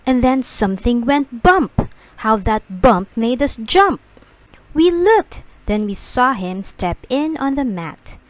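A woman reading a children's storybook aloud expressively, with deliberate, dramatic emphasis. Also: on the high side, lively intonation, dry recording. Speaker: storybook reader.

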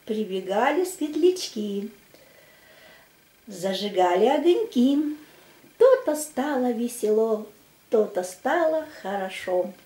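Older woman, theatrical children's verse recital, very lively intonation, echoey room. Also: a little high. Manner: theatrical, drawn-out, emphatic delivery. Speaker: amateur children's storyteller with a plush puppet. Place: small room at home. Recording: echoey home room recording.

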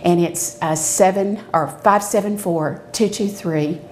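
A woman's voice: fairly low pitch, unhurried, darkish tone. Folksy woman, noisy background. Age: middle-aged. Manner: folksy, chatty step-by-step explaining. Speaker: cooking show host, extension educator. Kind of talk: casual craft and cooking demonstration. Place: medium-sized indoor demonstration kitchen. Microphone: lapel mic. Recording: clear background noise with clicks.

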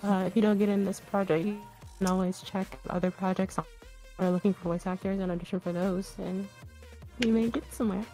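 A woman's voice: mid-range voice, steady tone, a little fast, dark tone, soft background music. A woman co-hosting a casual live stream, laughing often and reading viewers' questions. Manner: light, laughs often, reads chat questions.

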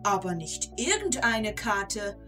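A woman playing an excitable storyteller in a children's audio play, involved in the tale and speaking straight to listeners. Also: mid-range voice, slow pace, over music. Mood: relieved, confiding. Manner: addresses the listeners, gets caught up in events. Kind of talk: dramatic scripted children's storytelling.

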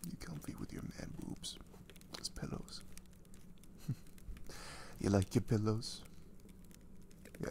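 A man playing an affectionate, dorky young boyfriend in an ASMR roleplay, speaking softly and breathily, often near a whisper, in a halting, tender way. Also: medium pitch, gravelly, slight background noise. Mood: tender, teasing.

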